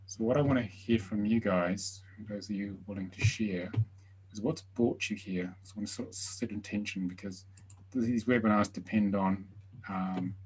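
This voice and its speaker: A man hosting a live online healing webinar. He speaks softly and invites the attendees to share. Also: lowish voice, moderate pace, slight background noise, rough recording. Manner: soft-spoken, invites sharing. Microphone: video call.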